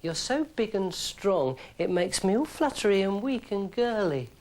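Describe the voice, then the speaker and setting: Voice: mid-range voice, big pitch swings, a little slow, a little mellow. A storyteller voicing a vain, scheming young woman who talks in a coy, sugary, playful way.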